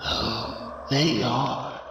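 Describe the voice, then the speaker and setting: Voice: medium pitch, steady tone, extremely slow. A performer voicing a sinister shadow pony mother with sweetly menacing, coaxing, dramatic delivery in a fan comic dub. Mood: dark, ominous. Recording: echoey, distant, faint noise.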